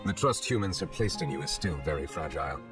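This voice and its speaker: A man playing a calm, dignified chief justice in a video game, speaking in a measured, formal manner. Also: extremely low pitch, over music. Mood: solemn.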